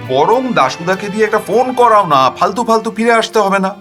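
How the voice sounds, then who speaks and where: A man voicing a practical wife in an audio story, brisk, teasing and questioning. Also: high voice, animated, a bit bright, soft background music, pitched above the performer's own voice. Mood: doubting, practical.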